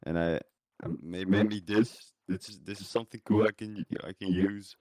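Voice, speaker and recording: Young male tutorial host, low dark tone, dry close sound, halting informal delivery. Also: fairly even intonation, no room sound. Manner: informal, halting, repeats words. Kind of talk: casual screen-recording tutorial.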